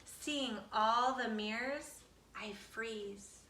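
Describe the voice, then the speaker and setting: A woman reading a children's picture book aloud expressively, with deliberate, dramatic phrasing. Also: fairly high pitch, noisy background. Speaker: storybook reader. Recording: roomy echo, distant from the mic.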